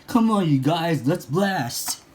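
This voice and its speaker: An eager cartoon boy inventor, acted out by a man for a storybook. The pitch sits high and the delivery is boastful and emphatic. There is noticeable noise behind the voice. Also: animated, takes it slowly, darkish tone. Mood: determined.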